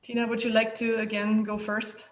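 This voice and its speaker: A moderator of an online panel putting long, halting questions to the speakers, restarting often with fillers. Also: medium pitch, completely flat delivery, roomy sound. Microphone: video call.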